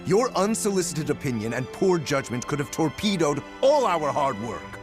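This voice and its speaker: An actor playing an arrogant, scolding corporate boss in a video game, stern, curt and condescending. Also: male voice, fairly high pitch, very animated, normal speed, music in the background. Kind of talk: dramatic video game cutscene acting.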